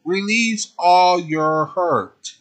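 A man doing a gentle, pleading young hero. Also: high-pitched, drawn-out words, voice pushed up, exaggerated voice. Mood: comforting, pleading. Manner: soft, comforting, begging.

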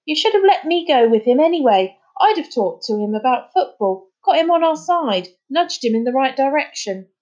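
A woman voicing an excitable, sarcastic young boy in an audiobook, emphatic and given to complaining. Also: fairly high pitch, brisk, hardly any pauses, lo-fi sound, lightly acted. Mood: bitter. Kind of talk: audiobook character dialogue.

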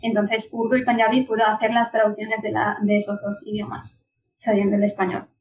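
A woman's voice: mid-range voice, monotone, brisk, far from the mic, a little hiss or hum, rough recording. Punjabi-native woman, hesitant and chatty. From Pakistan. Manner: hesitant, with frequent restarts and laughs. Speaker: podcast guest. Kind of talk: casual conversation.

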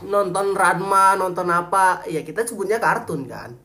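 A man hosts a relaxed podcast chat. He steers the topic in loose, slangy banter. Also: high-pitched, moderate pace. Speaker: podcast host. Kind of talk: casual chat.

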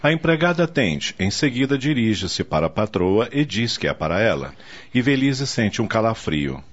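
Man, radio soap narrator, lowish voice, lively intonation, muffled recording. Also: moderate pace. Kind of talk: scripted radio drama narration.

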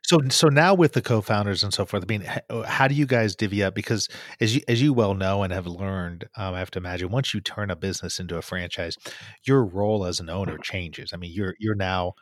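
A man's voice: low voice, a little fast, dry recording, clean studio sound. A man hosting a casual interview, asking informal, wordy questions that restart and circle around. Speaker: interview host.

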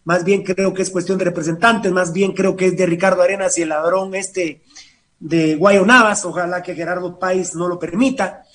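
A man's voice: high-pitched, fairly even intonation, moderate pace, a bit bright. A man with a Guatemalan accent hosting an informal sports talk show in an irreverent, slangy, profane manner. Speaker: host. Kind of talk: informal radio-style sports talk with co-hosts.

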